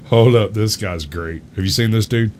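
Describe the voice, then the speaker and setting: A man's voice: lowish voice, a little slow, a little hiss or hum. A man co-hosting a podcast, chatting casually in a blunt, slangy, joking way. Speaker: podcast co-host reacting to clips. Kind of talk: casual chat.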